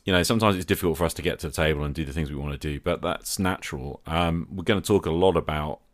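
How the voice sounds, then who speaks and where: A man's voice: a bass voice, quick pace, dry recording. A man hosting a podcast, talking conversationally in an earnest, reflective manner. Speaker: podcast host.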